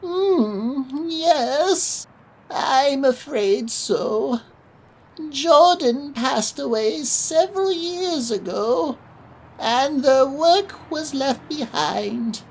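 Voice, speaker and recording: A woman playing a kindly, cautious elderly librarian in an audio drama, speaking in a measured, gently apologetic manner. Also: high-pitched, lively intonation, exaggerated voice, poor audio. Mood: hesitant, intrigued.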